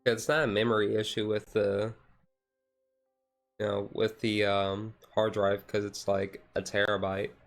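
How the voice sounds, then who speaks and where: A man streamer chatting casually in a chill, low-key livestream, rambling along with hesitant pauses. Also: flat delivery, gravelly, faint music behind. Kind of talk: casual chat, ASMR-style chill livestream.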